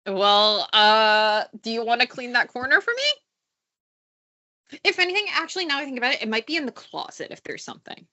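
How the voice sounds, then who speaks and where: Congested young woman, lively and bright. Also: a little high, moderate pace. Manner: teasing, swears casually. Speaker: podcast co-host. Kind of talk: casual chat. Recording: muffled lo-fi recording.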